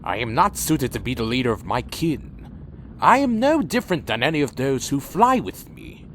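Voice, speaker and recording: Male actor as winged vampire leader, very animated intonation, gravelly creak, formal, weary, brooding, fantasy audio drama. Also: fairly high pitch, slight background noise, rough recording. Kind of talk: fan audio drama narration and dialogue.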